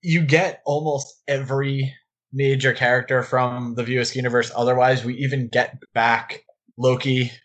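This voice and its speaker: A man's voice: mid-range voice, little pitch movement, moderate pace, fairly bright tone. A man talking casually about films as a podcast co-host, enthusiastic and given to rambling asides. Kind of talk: casual film discussion.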